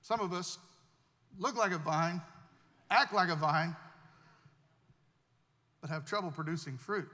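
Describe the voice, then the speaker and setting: A man's voice: a little high, unhurried, long pauses, pushed and bright, big-room sound. A man preaching a sermon as pastor, earnest and repeating himself as he builds each point.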